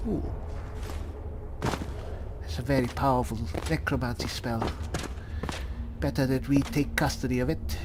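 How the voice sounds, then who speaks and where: A man roleplaying an earnest, worried cleric adventurer in a fantasy game, musing aloud in a halting manner. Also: medium pitch, steady tone, background noise, natural voice. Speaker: gamer playing a roleplay. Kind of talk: in-character improvised roleplay narration. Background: music.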